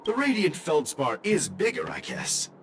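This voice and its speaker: A friendly traveling merchant. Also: male voice, a little high, unhurried. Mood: polite. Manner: courteous, businesslike, expressive. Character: polite traveling dream merchant man, friendly. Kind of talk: game voice acting. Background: game soundtrack music.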